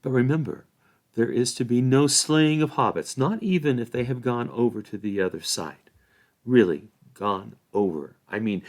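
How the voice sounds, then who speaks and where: A man reading the part of a grave, merciful hobbit in a fantasy storybook, measured and admonishing. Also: on the low side, a little mellow, close to an everyday voice. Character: grave, merciful hobbit calming his hot-headed companions.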